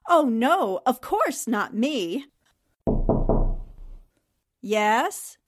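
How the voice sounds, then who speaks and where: A woman's voice: a little high, very animated, extremely slow, noisy background, heavily acted. A woman playing a polite, good-natured newspaperwoman with a genteel manner, in 1940s radio drama style. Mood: friendly.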